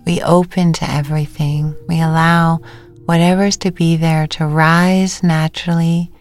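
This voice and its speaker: A woman meditation teacher giving gentle, unhurried guided instructions with pauses between phrases. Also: low voice, level tone. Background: music.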